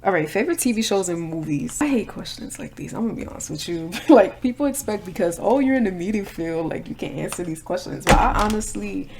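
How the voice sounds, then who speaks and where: A woman's voice: medium pitch, lively intonation, brisk, gravelly, few pauses, noisy background. A woman, chatting casually and candidly while doing her makeup. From Brooklyn, New York. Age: 20s. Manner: chatty, candid, slangy asides. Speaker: vlogger.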